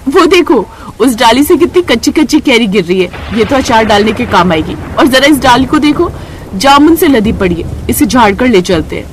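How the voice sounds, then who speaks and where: A woman's voice: fairly high pitch, lively intonation, normal speed, slight background noise, natural voice, rough recording. A woman voicing an eager, practical young village daughter-in-law who exclaims in wide-eyed wonder in a cartoon story. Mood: excited discovery. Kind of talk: cartoon moral story dialogue.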